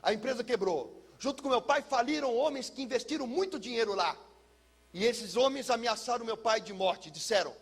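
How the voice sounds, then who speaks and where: A Brazilian man speaking as a pastor, telling a personal testimony in an emphatic, theatrical way with deliberate repetition. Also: extremely high pitch, vocal fry, husky. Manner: emphatic, theatrical, uses pauses and repetition for effect. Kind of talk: personal testimony, dramatic storytelling to listeners.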